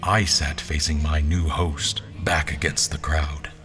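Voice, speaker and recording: Audio drama lead: actor as pompous male general, low voice, measured literary narration over prominent music. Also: little pitch movement. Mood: brooding, observant. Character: formal, pompous, troubled male general recounting his memories.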